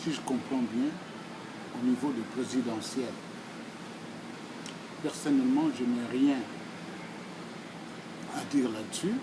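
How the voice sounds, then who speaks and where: A man in his sixties with a Congolese accent, giving formal answers in a deliberate, emphatic, oratorical way, phrase by phrase. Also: mid-range voice, background noise. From Democratic Republic of the Congo. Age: 60s. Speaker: speaker answering questions.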